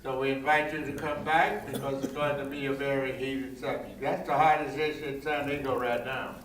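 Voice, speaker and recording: A man's voice: medium pitch, flat delivery, brisk, a crowd in the background. An older man hosting a forum, making informal announcements and repeating phrases for emphasis. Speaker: forum host and reverend. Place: large echoing indoor venue, public forum. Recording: echoey room, distant microphone, faint noise.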